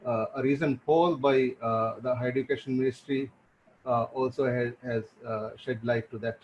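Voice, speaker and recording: Halting male moderator on a muffled call. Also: mid-range voice, steady tone, a little mellow. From Maldives. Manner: halting, with many uh fillers. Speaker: webinar moderator. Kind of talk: webinar moderation. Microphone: video call. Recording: muffled video call with faint noise.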